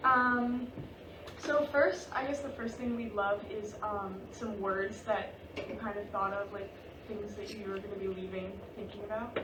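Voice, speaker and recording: A woman's voice: medium pitch, fairly even intonation, moderate pace. A woman moderating a post-show talkback in casual, spontaneous talk. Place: theatre after a staged reading. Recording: distant, echoey, loud background noise.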